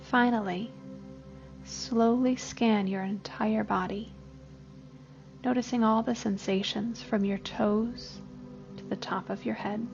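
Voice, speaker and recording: A woman gently leading a scripted guided body scan meditation, giving unhurried instructions as a therapist. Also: a little high, fairly even intonation, vocal fry, lo-fi sound. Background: soft music.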